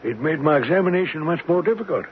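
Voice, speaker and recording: An actor playing a scholarly older professor in a 1970s radio drama, speaking with a hesitant, deliberate manner. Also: male voice, a little high, animated, natural voice. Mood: intrigued. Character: scholarly older male professor, absent-minded yet eager. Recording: noisy, tinny old radio broadcast.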